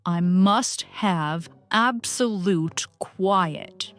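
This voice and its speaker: A woman's voice: on the low side, very slow, a bit bright, soft background music. A woman voicing a grumpy, fussy male bear with formal, indignant phrasing in a storybook reading. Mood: fussy, reproving. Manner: indignant, melodramatic, formal wording.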